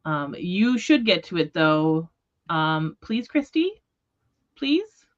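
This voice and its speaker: A woman with a fairly low voice hosts an informal book discussion. She restarts and rephrases as she goes, speaking straight to her viewers. The audio is a little dull and lo-fi, like a livestream. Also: big pitch swings, takes it slowly. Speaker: book readalong livestream host. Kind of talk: casual book discussion chat. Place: online livestream.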